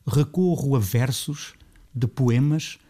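A middle-aged man from Lisbon with a Lisbon Portuguese accent, talking as a composer in a radio interview. He is thoughtful and often restarts his phrases. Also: slow. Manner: thoughtful, restarts phrases, rises in pitch when animated. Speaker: composer guest.

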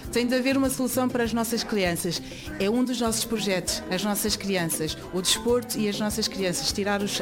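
A young woman from Guinea-Bissau speaks as a volunteer giving an informal guided explanation. Her pitch is average, and she repeats phrases for emphasis. Music plays clearly behind her. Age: young adult. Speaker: association volunteer.

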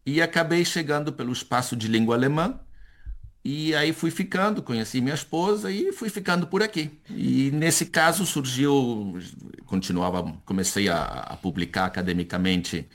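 An older man, an academic, answering in a conversational interview, halting and repeating words as he searches for them. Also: mid-range voice, animated. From Chile-born son of Brazilian exiles, later Spain. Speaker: academic, university professor, interview guest.

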